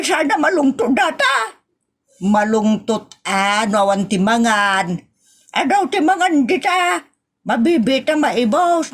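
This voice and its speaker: A woman's voice: a little high, exaggerated voice. A woman with an Ilocano accent playing a nagging, outspoken village woman in a comedy audio drama, drawn out and exclamatory. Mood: admiring, coveting.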